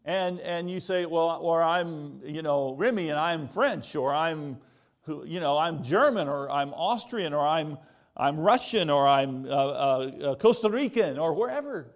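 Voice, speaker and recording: A man's voice: a little high, lively intonation, unhurried. An American man giving a sermon in a conversational way, asking rhetorical questions and repeating points for emphasis. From United States. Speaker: preacher.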